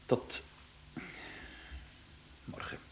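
An actor playing an anxious, irritable businessman in a radio play, speaking in halting, repeated words. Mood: frightened, hesitant.

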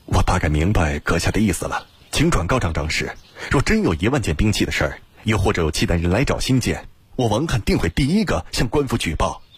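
A man voicing a proud, sardonic young gentleman in an audiobook, with a haughty manner. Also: low-pitched, big pitch swings, brisk, husky, no echo, heavily acted. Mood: curt, firm.

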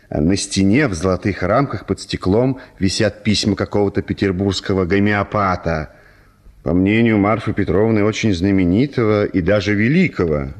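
A male narrator with a slightly low voice reads literary prose for a radio play. His delivery is measured and theatrical, in the manner of a stage storyteller.

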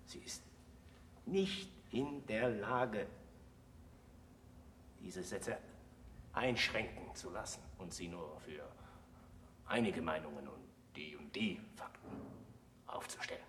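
An actor in a German radio play voicing a disgraced, self-accusing scholar who lectures in a solemn, deliberate, oratorical way. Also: male voice, sits low, fairly bright tone. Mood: grave, subdued. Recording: noisy old radio play recording.

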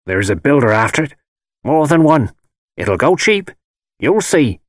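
Un hombre haciendo de vieja adivina agorera, voz aguda. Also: male voice, medium pitch, very animated, normal speed, no echo, studio quality, voice pushed up. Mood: curt, dismissive. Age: older. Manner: rustic dialect speech, ominous and insistent. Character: malicious, wheedling old fortune-telling woman with dark warnings. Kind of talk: dramatised audiobook character voice.

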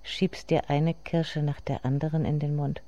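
An actress playing a bitter, grieving grown daughter who addresses herself in a measured, intimate radio monologue. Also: female voice, low-pitched, fairly even intonation, mellow. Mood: reflective.

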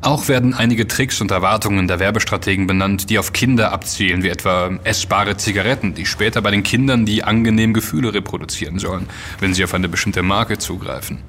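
A man's voice: fairly low pitch, fast, a bit bright, a little hiss or hum. A man playing a probing investigator in an audio drama, speaking in a formal, composed and analytical manner. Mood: inquisitive. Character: measured, probing male investigator, formally polite. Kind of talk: German audio drama dialogue.

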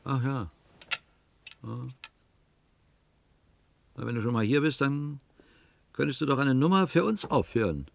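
Gravelly, mocking, coarse householder. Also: male voice, mid-range voice, lively intonation, a little slow, long pauses, no echo. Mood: grudging. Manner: needling, colloquial, blustering. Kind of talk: German radio play acting.

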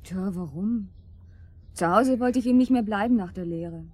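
A woman's voice: mid-range voice, normal speed, a little mellow, no room sound, lightly acted. An actress playing a disillusioned young woman, frank and resigned, in naturalistic radio drama. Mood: frank, pressing. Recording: background noise and ambience.

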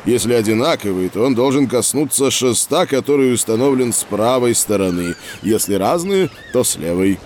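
A man playing a patient scientist who explains experiments to children in a measured, didactic way in an educational audio drama. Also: mid-range voice, animated, darkish tone, a little hiss or hum, lightly acted. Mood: explanatory.

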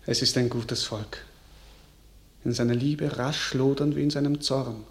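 Gracious, solemn young king played by a male actor, stately slow verse delivery, echoing radio drama room. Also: medium pitch, fairly even intonation, close to an everyday voice. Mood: moved, forgiving. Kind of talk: classical German stage verse radio drama.

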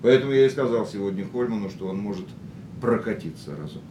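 A man's voice: lively intonation, fast talker, warm tone, natural voice. An actor in a radio drama playing a philosophical, risk-loving man with dramatic, declamatory phrasing. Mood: wry, persuasive. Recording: echoey radio studio, faint rumbling noise.

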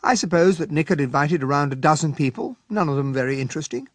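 An actor playing a gentlemanly English captain who narrates a detective case in a radio mystery drama, measured and genteel. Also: male voice, on the high side, no room sound, lightly acted. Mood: genteel, observant.

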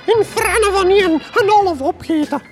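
A woman's voice: very high-pitched, normal speed, music in the background. A woman playing a dotty old village widow with a Flemish accent, melodramatic and insistent in a comic radio play. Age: older.

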